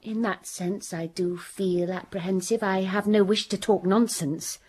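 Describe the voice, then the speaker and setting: An actress playing a grave, composed young governess in a radio drama, speaking in a quiet, measured way. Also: female voice, medium pitch, fairly even intonation, slightly rough. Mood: earnest.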